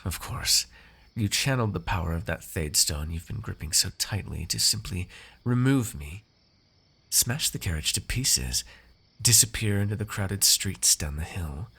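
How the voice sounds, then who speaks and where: A man's voice: fairly low pitch, lively intonation, measured pace, gravelly, bright, forceful tone, husky, exaggerated voice. A man playing a haughty, teasing, possessive noble master in an ASMR roleplay, with formal, drawling, condescending theatrical diction. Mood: coolly mocking.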